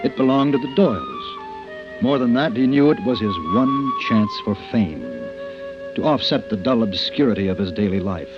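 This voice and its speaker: A man narrating a vintage radio science fiction tale, solemn and measured with dramatic phrasing. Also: medium pitch, lively intonation, lightly acted. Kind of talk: old-time radio scripted narration. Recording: muffled vintage radio recording. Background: music.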